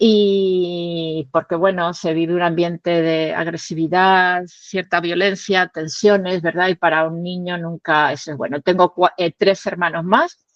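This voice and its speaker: A woman's voice: lowish voice, fairly even intonation, unhurried, no room sound. Middle-aged woman pastor, chatty and reflective with easy laughs; dull, dry recording. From Tenerife, Canary Islands. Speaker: pastor and guest. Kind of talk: warm personal interview conversation. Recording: dull lo-fi sound.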